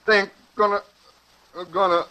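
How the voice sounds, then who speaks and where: An actor playing a wounded, stubborn low-life gangster in a 1940s radio drama, talking in clipped street slang with a strained, pained voice. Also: male voice, little pitch movement, moderate pace, sharp tone, a little hiss or hum, rough recording. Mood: pained, defiant.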